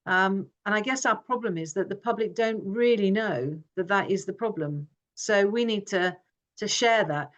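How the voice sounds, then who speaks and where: A woman's voice: mid-range voice, normal speed. A woman who grew up in Hong Kong, giving an earnest forum talk with frequent fillers and restarts. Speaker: forum speaker. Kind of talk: informal presentation.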